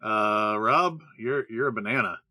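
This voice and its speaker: A man's voice: fairly low pitch, a little slow, sharp tone, dry recording. A man playing himself in a comedic audio skit in a matter-of-fact way, with hesitant asides. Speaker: podcast cast member playing himself.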